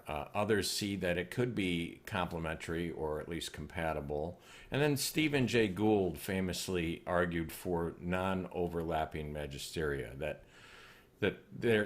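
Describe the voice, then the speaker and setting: A male host putting a long, measured question to his guest over a video call. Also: low-pitched. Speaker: show host. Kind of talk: interview question.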